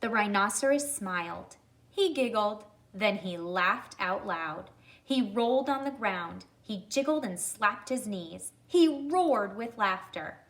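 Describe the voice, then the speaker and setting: A woman reads a picture book to young children. She takes her time, with a mid-range voice and strongly varied intonation, in a deliberate, instructive style. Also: medium pitch, expressive. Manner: deliberate, addressing young listeners. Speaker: read-aloud storyteller. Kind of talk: expressive children's story reading with questions.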